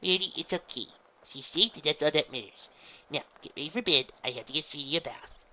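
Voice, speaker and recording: A woman voicing a gentle mother mare who speaks in a soothing, almost sung way. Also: low voice, expressive, brisk, muffled recording, voice pushed up. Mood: gentle firm. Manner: soothing, lilting.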